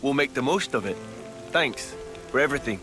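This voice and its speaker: A man's voice: mid-range voice, animated, brisk, over music, lightly acted. A man with a British accent playing a breezy, easygoing young adventurer in a video game. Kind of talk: video game cutscene acting.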